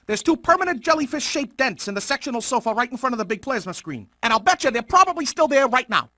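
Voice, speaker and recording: A man voicing a nervous, gentle young shark in an animated video game, telling his side of things. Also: very high-pitched, quick pace, dry recording, studio quality, exaggerated voice. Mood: grumbling.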